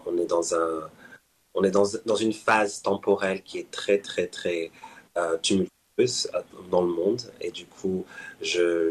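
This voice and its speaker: A man answering interview questions in a plain, calm way with some fillers. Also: sits low, rough recording. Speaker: guest.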